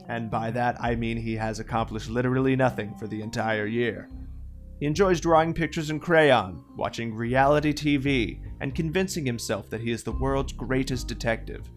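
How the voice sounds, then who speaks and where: A man narrating a comedic story from a script in a droll, tongue-in-cheek way. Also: mid-range voice. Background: music.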